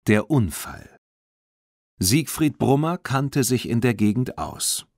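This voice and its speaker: A man narrating a children's audiobook in an expressive, dramatic storytelling manner. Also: mid-range voice, slow, no room sound, studio quality.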